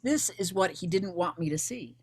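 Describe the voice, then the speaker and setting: A woman's voice: on the low side, animated, normal speed, a little mellow, a little hiss or hum, close to an everyday voice. A woman acting as a determined, blunt female investigator in a screenplay table read. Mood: focused, urgent. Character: defiant, determined recently widowed female investigator, former accountant.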